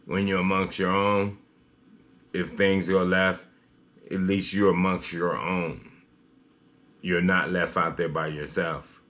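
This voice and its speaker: Male news commentator, low-pitched, slightly halting read, personal opinion style. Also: a bit bright.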